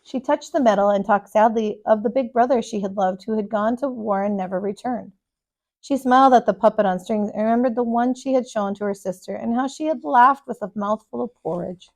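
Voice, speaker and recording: A woman reading a children's storybook aloud with deliberate, emphatic, expressive storytelling. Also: a little high. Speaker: storybook reader, kindergarten teacher.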